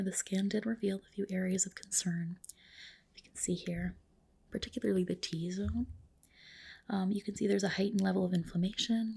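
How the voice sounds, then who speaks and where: A woman whispering softly and breathily in an ASMR roleplay as a spa skin specialist, gently explaining and reassuring. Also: medium pitch, gravelly, husky. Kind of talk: ASMR roleplay, personal attention.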